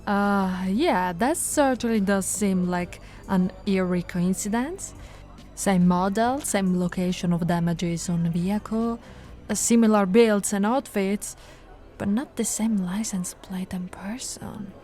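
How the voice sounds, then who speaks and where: A woman playing a patient, teasing policewoman in an intimate ASMR roleplay, talking in a soft, coaxing, conversational way. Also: medium pitch, slow pace, faint music behind, close to an everyday voice. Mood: businesslike. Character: flirty, patient, teasing policewoman reassuring a suspect.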